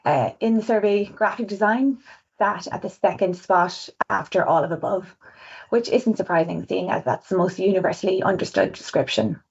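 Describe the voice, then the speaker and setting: Fast-talking Irish woman on a webinar. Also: mid-range voice, darkish tone, husky. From Ireland. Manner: fast-talking, chatty, self-aware. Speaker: webinar presenter, visual designer. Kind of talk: presenting survey results on a webinar. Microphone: video call. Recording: dull lo-fi webinar audio.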